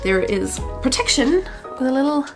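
A woman's voice: sits high, moderate pace. Congested woman explaining her wiring. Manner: chatty, self-taught, excitable. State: congested from flu. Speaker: van-build vlogger. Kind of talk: casual DIY explainer. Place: inside a van under construction. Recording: guitar music playing underneath.

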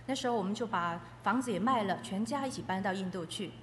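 Fast-talking woman interpreter in a hall. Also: medium pitch, a bit bright. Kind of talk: consecutive interpreting of a testimony, phrase by phrase. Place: echoey hall. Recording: echoey hall with faint noise.

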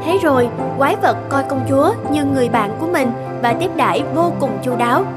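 A woman's voice: high-pitched, animated, a little fast, over loud music. A woman reading scripted storybook narration for a fairy-tale cartoon.

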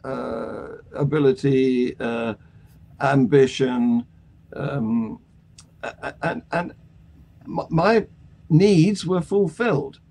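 Older British man, mellow and measured. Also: mid-range voice, vocal fry. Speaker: panel discussion host. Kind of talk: conversational news discussion. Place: small attic room at home. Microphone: video call.

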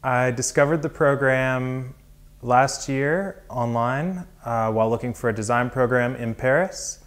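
A young man from California speaks in an American accent at a medium pitch. He gives an informal student testimonial, recorded on a clip-on mic in a room with books. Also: measured pace. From California, United States. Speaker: graduate design student. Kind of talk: casual testimonial to camera. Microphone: lapel.

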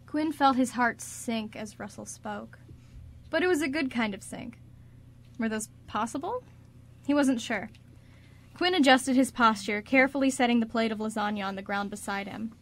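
Higher-voiced woman narrating a drama. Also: normal speed. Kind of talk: scripted radio drama narration.

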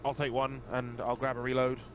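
A man talks quickly in a mid-pitched voice during a tabletop game. He chats casually over an online call with clear background noise. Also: completely flat delivery, muffled recording. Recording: clearly noisy voice-chat recording.

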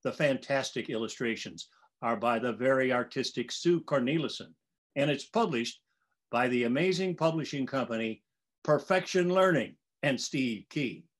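A man's voice: medium pitch, normal speed. A man in his sixties, an author reading scripted narration for a children's story.

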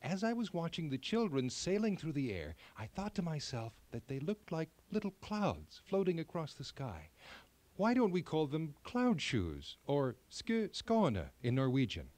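Man reading as a gentle storybook queen. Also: fairly high pitch, huge pitch swings, unhurried, no room sound. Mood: musing. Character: gentle queen, a loving mother. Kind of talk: storybook character acting.

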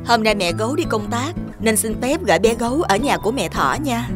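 A woman's voice: on the high side, animated, a little fast, over music, natural voice. A woman voicing a polite, motherly bear in a children's toy cartoon. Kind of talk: children's toy animation dialogue.

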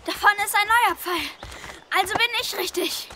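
A woman's voice: very high voice, normal speed, pushed and bright. A woman playing an eager, earnest young boy in a children's audio drama. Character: eager, plucky young boy on a scavenger hunt. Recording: noisy outdoor sound effects behind.

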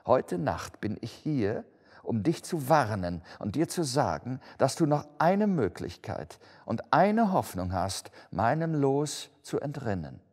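Guy doing a doomed stern ghost in chains, storybook style, lamenting, big swings in pitch, huge echoing hall. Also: sing-song, slow, a little mellow, husky, heavily acted. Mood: urgent, warning. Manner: solemn, declamatory, lamenting. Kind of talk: dramatic storybook reading. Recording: long hall-like reverb.

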